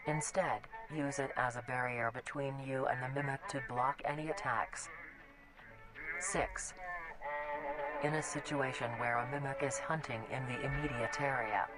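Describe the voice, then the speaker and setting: A man with a medium-pitched voice reads scripted safety instructions formally, like a radio announcement. Loud music plays behind him throughout. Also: fairly even intonation, normal speed, low-quality recording.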